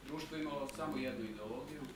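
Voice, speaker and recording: A man's voice: medium pitch, racing. A man interpreting consecutively at a conference, summarizing the speaker loosely in his own words. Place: conference hall. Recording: very echoey hall, distant mic, audible hiss.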